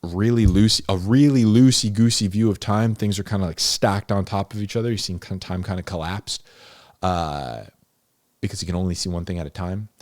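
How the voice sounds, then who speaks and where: Male Bible teacher, low register, dry sound, unscripted and conversational, emphatic repetition. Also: fairly quick, a little mellow, no echo. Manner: conversational and emphatic, repeats key phrases for stress. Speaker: host of a Bible-explainer series, teacher.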